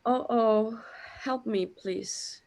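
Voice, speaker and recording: A woman's voice: on the high side, drawn-out words, creaky, darkish tone, full character voice. A woman acting an anxious, bewildered character overwhelmed by existential dread in a sci-fi audio drama. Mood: panicked, faint.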